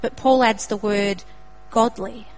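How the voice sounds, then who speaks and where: A woman's voice: on the high side, steady tone, slow, rough recording. Earnest Australian woman preaching. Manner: earnest, builds to emphatic repetition. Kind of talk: sermon.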